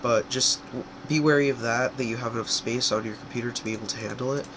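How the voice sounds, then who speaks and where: A young man with a cold, his voice stuffy and congested, walks through a software tutorial in a loose, rambling way, often stopping to correct himself. He is recorded on a home computer mic, with a clear air-conditioning hum behind him. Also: mid-range voice, steady tone, quick pace. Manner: rambling, filler-heavy, self-correcting. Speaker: tutorial creator.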